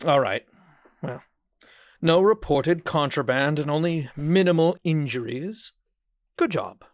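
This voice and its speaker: A man's voice: a little high, dry recording, clean studio sound, natural voice. A man playing a smug hospital examiner in an audio drama, condescending, falsely cheerful and coldly dismissive. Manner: slow, condescending, mock-pleasant. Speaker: hospital staff examiner character.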